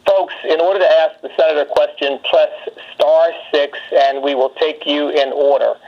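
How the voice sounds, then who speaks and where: American guy running a conference call, lots of fry in his voice, muffled phone audio. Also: a little high, steady tone, moderate pace. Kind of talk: moderating a political call. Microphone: telephone.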